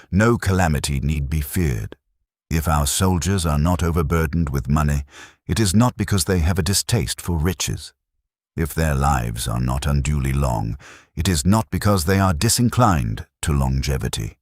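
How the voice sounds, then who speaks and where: A man narrating an audiobook of a classic treatise in measured, deliberate phrases. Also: extremely low pitch, no room sound, clean studio sound. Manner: measured, declarative delivery in short deliberate phrases.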